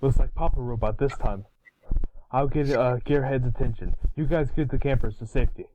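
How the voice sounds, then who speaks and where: A man's voice: mid-range voice, brisk, warm tone, slight background noise, lightly acted, rough recording. A man playing an eager, brash boy hero in an amateur cartoon table read. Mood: defiant.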